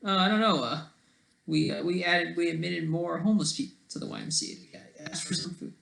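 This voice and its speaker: A man talking casually on a livestream, rambling and halting, often trailing off mid-thought, with a sardonic, self-deprecating streak. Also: on the high side, creaky, a bit bright, rough recording.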